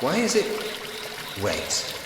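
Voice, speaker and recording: Musing investigator in cavernous echo. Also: male voice, a little high, wildly swooping pitch, takes it slowly, noisy background, exaggerated voice. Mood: pensive, observant. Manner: musing to himself, wry, in short clipped remarks. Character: curious, polite man investigating a haunted Victorian house. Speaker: video game protagonist narrating his actions. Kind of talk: scripted point-and-click adventure game voice acting. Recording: long echoing reverb with music behind. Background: music.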